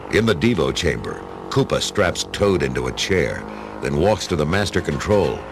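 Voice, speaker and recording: A man narrating a radio play as a dramatic storyteller, with a folksy, wry manner. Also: deep voice.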